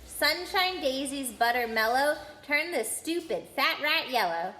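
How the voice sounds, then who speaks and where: A woman's voice: high-pitched, animated, measured pace, bright, forceful tone, echoey room, slight background noise, pitched above the performer's own voice, exaggerated voice. Woman playing Ron Weasley, blunt boy wizard.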